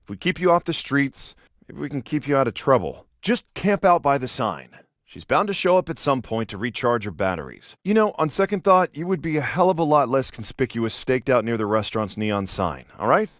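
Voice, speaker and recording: An American police officer from a video game, a protective big-brother type with a sarcastic edge. His pitch moves around a lot as he cautions and needles. The recording is close and dry. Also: big pitch swings, fairly quick, fairly bright tone, dry recording. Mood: sarcastic, exasperated. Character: exasperated protective adult cop, sarcastic older brother.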